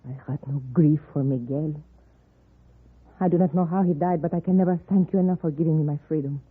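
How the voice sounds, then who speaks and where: Through a thin vintage radio recording comes a proud widow. The voice is heavily husky and restrained, with formal, phrasing and quiet bitterness. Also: female voice, sits low, fairly quick. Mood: solemn, grateful. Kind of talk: old-time radio western acting. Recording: muffled narrow-band old radio.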